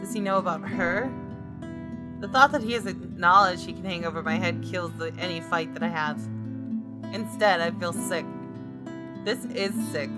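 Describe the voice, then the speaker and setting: A woman narrates a visual novel in the first person as she plays it. Her voice is slightly low and expressive, with guitar game music clearly behind it. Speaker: gamer reading a visual novel aloud.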